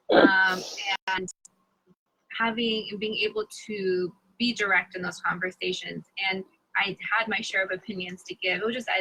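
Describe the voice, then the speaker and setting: A woman's voice: medium pitch, pushed and bright, breathy edge, poor audio. A woman on a discussion panel, speaking reflectively with some hesitant restarts. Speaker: panel member.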